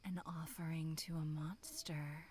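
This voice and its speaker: A woman voicing a sinister, playful demonic monster in dark ASMR, breathy, half-whispered, purring and teasing. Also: low voice, fairly even intonation, a little slow, a little hiss or hum. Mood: coaxing. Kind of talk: dark ASMR horror roleplay.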